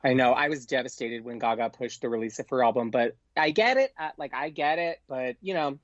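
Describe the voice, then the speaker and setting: A dull, slightly muffled and dry recording of a man as a podcast guest. He talks casually in an effusive, gushing way with plenty of filler words, at a middling pitch. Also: fairly quick, dry recording. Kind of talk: casual pop culture chat.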